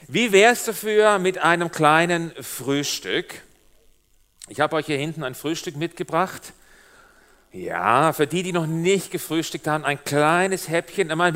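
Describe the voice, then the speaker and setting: Middle-aged guest preacher with a Swabian accent and a Swiss tinge, lively and joking, a fairly high male voice. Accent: Swabian German with Swiss German influence. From Swabia, Germany. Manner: lively, humorous, addresses the congregation directly. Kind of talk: sermon.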